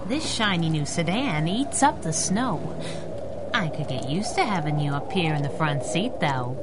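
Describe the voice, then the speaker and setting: A woman playing a plucky, teasing chauffeur and crimefighting sidekick, slangy and brisk. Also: low voice, expressive, heavy background noise. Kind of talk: 1930s radio adventure drama acting.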